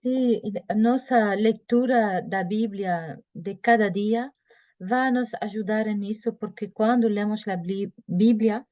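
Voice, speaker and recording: Female congregation member, dark soft tone, dry call audio, hesitant delivery. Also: mid-range voice, no echo. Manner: halting, searches for words. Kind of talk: spoken comment at a religious study meeting. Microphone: video call.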